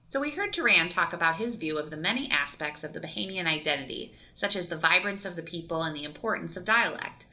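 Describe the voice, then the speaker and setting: A woman hosting, asking interview questions and giving measured explanatory narration. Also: lowish voice, expressive, bright, forceful tone. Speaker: podcast host and interviewer.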